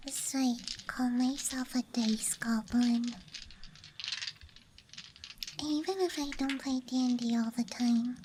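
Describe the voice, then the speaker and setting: A woman speaking in a breathy, mostly unvoiced ASMR whisper, rambling gently and softly close to the microphone. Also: a little high, fairly even intonation, slow, long pauses, background noise. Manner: soft, hushed, gentle rambling. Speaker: ASMR streamer. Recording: night nature ambience, clicking and rattling sounds. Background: night campground ambience, dice and case clicks.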